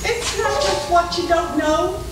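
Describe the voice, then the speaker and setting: A high-voiced, cantankerous frontier sharpshooter, acted by a woman, defiant and folksy in an old-west stage melodrama. She is heard from far off in a very echoey theatre, with music behind. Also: a little slow, noisy background. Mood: stubborn, wary. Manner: folksy drawl wording, defiant, emphatic. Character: cantankerous, stubborn Old West female trick shooter.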